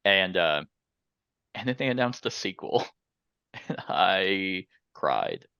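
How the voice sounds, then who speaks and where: Animated deep-voiced man chatting. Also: slow pace, creaky, clear, resonant tone, no echo, studio quality. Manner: animated, profane, rambling storyteller. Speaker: board game show host. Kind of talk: casual chat.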